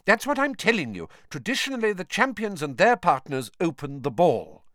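A man's voice: sits high, sing-song, unhurried, a bit bright, no room sound, studio quality, voice pushed up, heavily acted. A man voicing a stern, prim older female schoolteacher in an audiobook, with a clipped, disapproving manner. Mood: cold, firm. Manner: clipped, disapproving, cold and firm.

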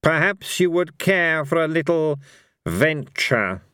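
Storybook role: man plays smug deity, unhurried and menacing, every word drawn out with condescension. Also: a little high, very animated, pushed and bright, clear, resonant tone, dry recording, studio quality, voice pushed up, heavily acted. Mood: silky menace. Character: Fate, smug menacing god of gambling.

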